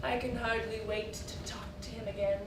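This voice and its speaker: A woman's voice: on the high side, little pitch movement, moderate pace, close to an everyday voice. A woman on stage playing a gushing, mannered society woman with spite and scheming underneath. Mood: spiteful, polite veneer. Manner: mannered, melodramatic. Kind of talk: theatrical stage acting. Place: echoey theatre stage, recorded from afar. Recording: echoey room, distant mic, clear noise.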